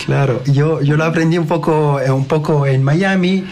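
A man being interviewed on radio about his work as a technology director, speaking as a learned language and pausing often with fillers and restarts. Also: fairly high pitch, fairly even intonation, darkish tone, slight background noise. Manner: hesitant, many fillers and restarts.

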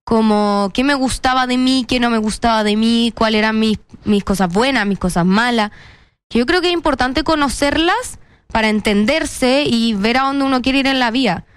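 A woman's voice: a little high, moderate pace, clean tone, dry recording. A young woman with a Chilean Spanish accent, chatting as an interview guest in a playful, self-mocking way. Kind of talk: casual interview chat.

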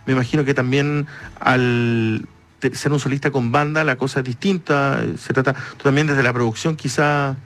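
A man's voice: medium pitch, steady tone, normal speed, a bit bright. A man with a Chilean accent hosting a casual radio interview, often restarting and repeating his questions. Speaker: radio show host.

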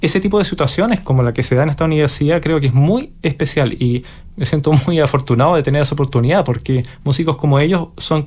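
A man from Chile with a Chilean Spanish accent, a conductor answering interview questions thoughtfully, pausing and restarting as he searches for words. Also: fairly high pitch, a little mellow. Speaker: orchestra conductor, interview guest.

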